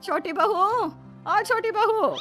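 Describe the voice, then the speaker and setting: A woman's voice: extremely high pitch, slow pace, fairly bright tone, soft background music, pitched above the performer's own voice, exaggerated voice. A woman voicing a middle-aged mother-in-law in a cartoon story, commanding and fussy. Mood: calling out. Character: bossy, complaining older mother-in-law.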